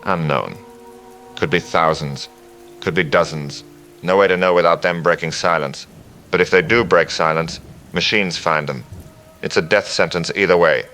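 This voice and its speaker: A man playing a somber, analytical survivor radio operator, measured and bleak, in an audio drama. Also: low voice, bright, forceful tone, faint music behind. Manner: measured, matter-of-fact, bleak. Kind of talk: post-apocalyptic audio drama acting.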